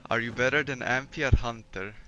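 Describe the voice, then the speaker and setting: Bright-voiced laid-back streamer man from Poland. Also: mid-range voice, steady tone, fairly quick, faint music behind. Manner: laid-back, swears often, keeps saying "man". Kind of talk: casual live-stream chat while gaming. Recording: slightly dull lo-fi stream audio.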